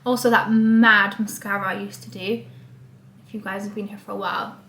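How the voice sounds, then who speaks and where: A woman's voice: medium pitch, little pitch movement, a little fast, fairly bright tone, slight background noise. Chatty young woman in an echoey room. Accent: English with a light Scottish trace. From Scotland. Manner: bubbly, rambling, with lots of "like" and "um". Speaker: vlogger. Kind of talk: casual chatty vlog. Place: small room indoors. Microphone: camera facing her from a short distance.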